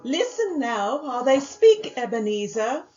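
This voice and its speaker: A woman playing a solemn, relentless spirit of the past in a radio drama, speaking gravely and deliberately. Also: high-pitched, sing-song, darkish tone, slight background noise. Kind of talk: amateur radio drama acting. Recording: dull lo-fi audio.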